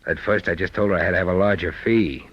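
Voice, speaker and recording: A husky, shifty low-voiced con man. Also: quick pace. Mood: uneasy, confiding. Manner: formal, oily phrasing that slips into slang. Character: shifty, smooth-talking fake mind-reader and blackmailer. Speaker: radio drama actor. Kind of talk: old-time radio detective drama acting. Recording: dull, lo-fi old radio recording.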